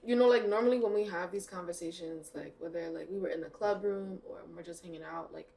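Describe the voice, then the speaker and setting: A woman with a low, gravelly voice speaks openly among friends and chimes in often with short agreements. She sits a bit away from the mic, with a faint hiss in the background. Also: mellow. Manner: quick affirming interjections, then open and candid. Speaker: podcast co-host. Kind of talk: casual chat among friends. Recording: mic at a distance, faint noise.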